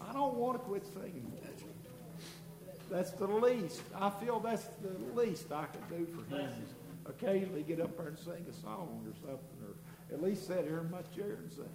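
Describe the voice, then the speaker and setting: He speaks, earnest and folksy, stumbling over his words as he gives a church testimony. His voice is pitched a bit high, nasal and congested from illness. The recording is roomy and echoey, with steady noise underneath. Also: normal speed. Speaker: congregation member giving testimony. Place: church sanctuary. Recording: echoey room with background noise.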